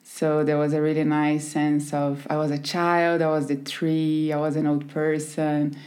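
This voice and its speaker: A woman in her thirties with a Brazilian Portuguese accent, a podcast guest telling her life story in a relaxed way, laughing softly often. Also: deep voice, unhurried. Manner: warm storytelling with frequent soft laughs. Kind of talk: casual conversation.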